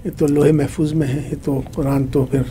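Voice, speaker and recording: A man's voice: fairly high pitch, level tone, moderate pace, dark tone, slight background noise. A man from India, an imam answering in a conversational interview, emphatic and repetitive, often posing rhetorical questions.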